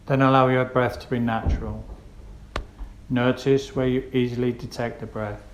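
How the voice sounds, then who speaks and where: A man leads a guided meditation, giving gentle, unhurried instructions with pauses between phrases. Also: mid-range voice, flat delivery. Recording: roomy echo with faint background noise.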